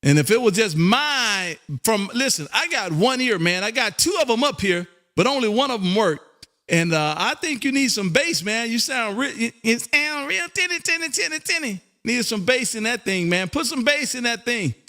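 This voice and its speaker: A man's voice: very high voice, lively intonation, moderate pace, pushed and bright. An American man hosting live amateur radio contacts, chatting in a folksy, exuberant way and repeating phrases for emphasis. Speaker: amateur radio host and operator.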